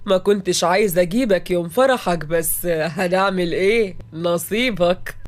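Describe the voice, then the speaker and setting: Woman acting a slow, taunting Egyptian villain. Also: lowish voice, natural voice. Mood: gloating menace. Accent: Egyptian Arabic. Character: menacing, gloating male criminal kidnapper.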